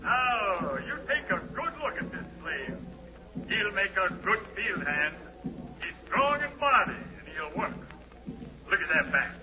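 An actor playing a brisk, callous slave auctioneer with commanding, declamatory sales patter in an old radio drama. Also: male voice, high voice, sing-song, sharp tone, heavily acted. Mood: callous, selling. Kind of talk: 1940s radio drama acting. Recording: dull, hissy 1940s radio broadcast.

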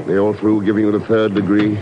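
An actor playing a cool, shrewd secret agent with a clipped, dry manner in a 1950s radio drama. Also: male voice, on the low side, completely flat delivery, fast talker, natural voice. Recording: muffled, hissy old radio recording.

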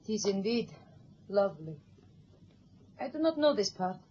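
A woman's voice: mid-range voice, very animated, normal speed, a little mellow, a little hiss or hum, lightly acted. An actress playing a nervous, apologetic woman captive who speaks formally and hesitantly in a 1960s radio drama. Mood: anxious. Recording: dull old radio broadcast.

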